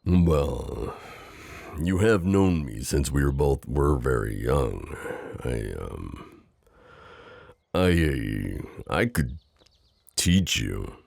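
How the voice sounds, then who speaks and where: A man's voice: extremely low pitch, expressive, drawn-out words, gravelly, pauses often, a little mellow, no room sound, studio quality, exaggerated voice. A man playing a protective, possessive knight, speaking in a formal, courtly, tender and hesitant manner in an intimate roleplay audio. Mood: tender, teasing. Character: protective, possessive gallant knight, mentor to a princess.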